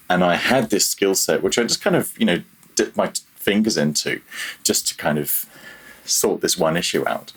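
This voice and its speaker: A man's voice: low voice, breathy edge. A man talking casually as a podcast guest about his own working life, in a rambling, conversational way. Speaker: podcast guest, audio programmer. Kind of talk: casual interview, telling own career story.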